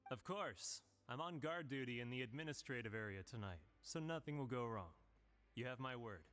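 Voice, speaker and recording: A man voicing a dutiful, steady guard in a video game. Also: medium pitch, normal speed, close to an everyday voice. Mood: assured. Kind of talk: video game character acting.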